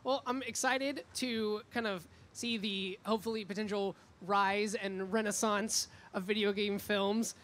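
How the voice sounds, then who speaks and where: A woman's voice: mid-range voice, fairly even intonation, measured pace, hardly any pauses, fairly bright tone. A woman hosting a casual, joking on-stage interview for a convention live stream. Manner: joking, informal.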